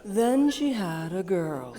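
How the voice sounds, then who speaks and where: A woman narrating a live radio play with measured, unhurried storytelling. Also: mid-range voice, big pitch swings, slight background noise. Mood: calm. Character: calm storytelling female narrator. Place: theater stage. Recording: echoey theater hall.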